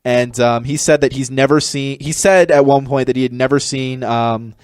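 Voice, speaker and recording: A man with a mid-pitched voice co-hosts an entertainment podcast. He talks casually and gives blunt opinions. The sound is close and dry. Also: fairly even intonation, normal speed, clear, resonant tone, dry recording. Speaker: podcast co-host.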